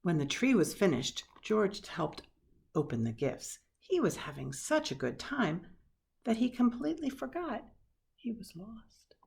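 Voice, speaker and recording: A woman reading a children's picture book aloud expressively, with dramatic pauses and hushed asides. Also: mid-range voice, very animated, creaky, slight background noise. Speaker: storybook reader.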